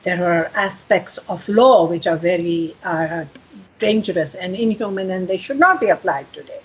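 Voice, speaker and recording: An older woman whose first language is Urdu, speaking earnestly as a podcast guest, often pausing with fillers. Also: on the low side, breathy edge, highs cut off. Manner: earnest, many fillers and restarts. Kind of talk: podcast interview.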